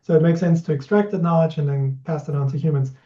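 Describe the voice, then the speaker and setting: A man asking a long, measured interview question, hedging often with "kind of". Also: fairly high pitch, steady tone, dark tone. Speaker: interviewer, audience member. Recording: dull lo-fi audio.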